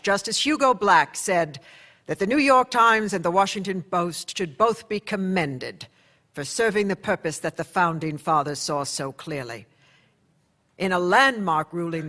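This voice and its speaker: A reflective newspaper editor. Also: male voice, high voice, fairly bright tone. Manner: measured, reflective narration. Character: male newspaper editor looking back on the case. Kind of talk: radio play narration.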